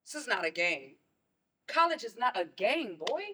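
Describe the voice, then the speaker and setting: A woman's voice: fairly high pitch, big pitch swings, normal speed, breathy edge, exaggerated voice. A woman playing a stern, protective mother in a stage play, scolding and pressing with pointed questions. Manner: forceful, scolding, rhetorical questions.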